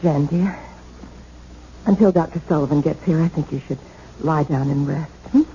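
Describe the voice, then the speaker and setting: An actress playing a worried, protective older sister in a 1970s radio drama, composed and firm. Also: female voice, low voice, moderate pace, mellow. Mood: soothing. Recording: dull, faintly noisy 1970s radio broadcast.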